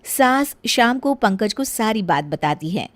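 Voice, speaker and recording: A woman's voice: mid-range voice, lively intonation, a little slow, no room sound. A woman storyteller reading scripted narration for a story.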